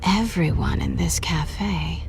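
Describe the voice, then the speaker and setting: Sly, teasing American cat burglar. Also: female voice, low voice, gravelly, a little mellow, full character voice. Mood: taunting, cool. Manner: teasing, coy, measured. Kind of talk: video game cutscene acting. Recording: background music under the voice.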